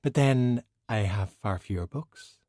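A man's voice: lowish voice, dark tone, dry recording, clean studio sound. Man voicing a poised, silky, refined woman. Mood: composed, wry. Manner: measured, formal, refined. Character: poised, silky, beautiful woman, proud and wryly self-pitying. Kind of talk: audiobook character dialogue.

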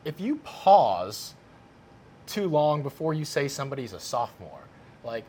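A man hosting a casual interview podcast, talking in an animated, self-deprecating, conversational way. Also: a little high, normal speed, breathy edge. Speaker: podcast host, play-by-play sportscaster.

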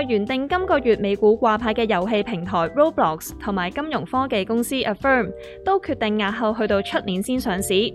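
A woman's voice: fairly high pitch, close to an everyday voice. A woman playing a dramatic know-all market guru in a comic radio drama, emphatic and explanatory, with a Hong Kong Cantonese accent. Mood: ominous. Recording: music under the voice. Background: background music.

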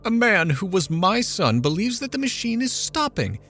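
Petulant, easily affronted mother, voice pitched high and complaining; a male reader puts her on. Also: sing-song, moderate pace, fairly bright tone, soft background music, exaggerated voice. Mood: fretful. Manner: complaining, indignant, raised pitch. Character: fretful, petulant middle-aged mother.

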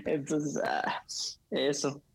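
Husky-voiced male preacher chatting. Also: on the high side, flat delivery, extremely slow. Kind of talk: casual conversation.

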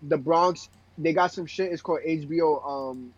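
Higher-voiced Brooklyn man, dull lo-fi audio. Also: moderate pace, breathy edge, no room sound. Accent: Brooklyn, New York. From Brooklyn, New York. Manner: slangy, profane, emphatic repetition. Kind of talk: casual chat.

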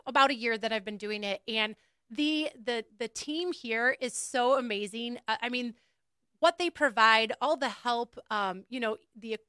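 A woman's voice: fairly high pitch, pushed and bright, clean tone, no echo. A woman hosting a casual interview podcast in a studio, chatty and encouraging, with many fillers. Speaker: podcast host.

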